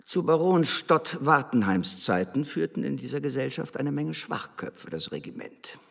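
An actor playing an arrogant, scornful embassy official in a German radio drama, haughty and lecturing. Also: male voice, medium pitch, sing-song, moderate pace, creaky, fairly bright tone, exaggerated voice. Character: arrogant, scornful male embassy official berating his spy.

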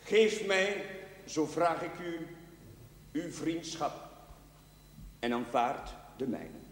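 An actor playing an earnest, courteous village chaplain in a TV period drama. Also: male voice, a little high, expressive, slow. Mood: solemn. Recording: distant, echoey hall, faint noise.

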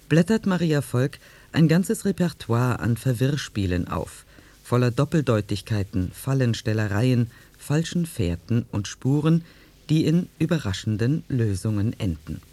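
A man presents a radio play in a measured scripted read. His voice sits at a middle pitch and is soft and mellow in tone. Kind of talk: scripted narration.

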